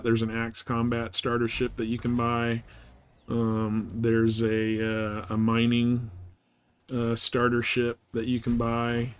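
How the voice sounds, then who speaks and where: A man's voice: medium pitch, smooth. Laid-back man streaming a game. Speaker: live streamer playing a space game. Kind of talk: casual gaming stream chat, thinking aloud.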